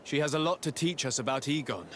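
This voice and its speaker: Actor as composed noble envoy, measured man's voice. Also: medium pitch, fairly bright tone, a little hiss or hum, close to an everyday voice. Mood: composed, firm. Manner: formal, measured, purposeful. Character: composed diplomatic noble envoy, earnest and decisive. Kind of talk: scripted video game acting.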